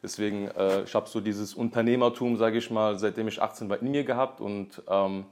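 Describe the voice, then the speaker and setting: Low-voiced German man in his thirties. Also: a little fast, a little hiss or hum. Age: 30s. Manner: relaxed, many fillers and restarts. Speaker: podcast guest, real estate agent. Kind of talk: casual podcast interview.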